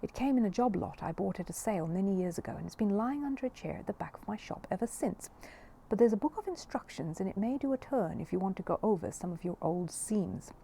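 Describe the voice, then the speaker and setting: A woman reading the part of a kindly older male shopkeeper in a storybook, with a gentle, modest manner. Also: mid-range voice, animated, quick pace, creaky, slight background noise. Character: kindly older male antique shop keeper.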